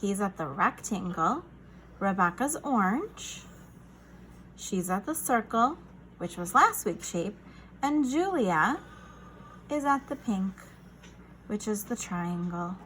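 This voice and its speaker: A woman's voice: mid-range voice, very animated, takes it slowly, pauses often, fairly bright tone, slight background noise, rough recording. A woman reading a picture book aloud to young children in an encouraging, instructional manner. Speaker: children's read-aloud teacher.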